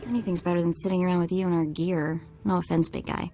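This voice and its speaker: A woman playing a young, eager tech slicer who talks in a quick, chatty, teasing way in video game dialogue. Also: fairly low pitch, fairly even intonation, dark tone, a little hiss or hum, close to an everyday voice. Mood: perky. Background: faint game music.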